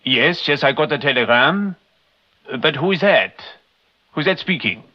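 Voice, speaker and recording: Slick suspicious Frenchman, wary, questioning, animated pitch, old radio thriller, muffled recording. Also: a little slow. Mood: anxious. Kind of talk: mid-century British radio acting.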